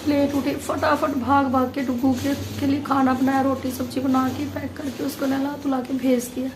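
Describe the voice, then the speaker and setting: A woman vlogger chatting casually to viewers, her voice hoarse and nearly gone, pushing hard to get the words out. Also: sits high, little pitch movement, moderate pace, background noise. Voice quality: strained, forced voice.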